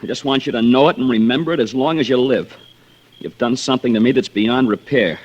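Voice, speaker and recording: An actor playing a jilted young suitor, wounded and bitter, in an old radio thriller. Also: male voice, mid-range voice, fast, highs cut off, lightly acted. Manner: emotional, swinging from pleading to reproach. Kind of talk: 1940s-50s radio thriller acting.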